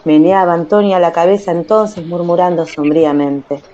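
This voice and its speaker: Mellow woman narrator, slightly muffled. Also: fairly low pitch, steady tone, moderate pace. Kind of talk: dramatized literary narration for radio. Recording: slightly muffled, music underneath.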